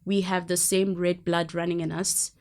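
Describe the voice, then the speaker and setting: A woman from Zimbabwe who grew up in South Africa speaks earnestly as an interview guest. Her fairly low voice moves hesitantly, often pausing on ums. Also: level tone. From Zimbabwe, raised in South Africa. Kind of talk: personal storytelling in an interview.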